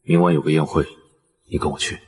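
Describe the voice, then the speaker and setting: A man's voice: a bass voice, little pitch movement, quick pace, a little mellow, husky. A man playing a cold, possessive, domineering gang boss who speaks in commands in a drama. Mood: curt.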